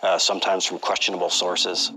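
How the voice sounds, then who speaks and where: A man's voice: low-pitched, little pitch movement, brisk, very noisy. A man explaining history informally as an interviewed expert in a documentary.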